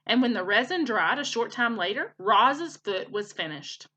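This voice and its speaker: Animated, bright-voiced woman reading a storybook. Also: a little high, moderate pace. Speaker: teacher reading aloud. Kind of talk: animated children's storybook narration.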